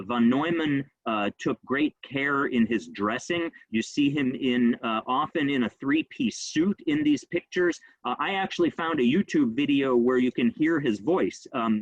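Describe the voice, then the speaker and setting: A man giving an informal history talk, speaking quickly and conversationally with frequent ums and uhs. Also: mid-range voice, no echo, highs cut off. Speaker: rabbi giving a history lecture.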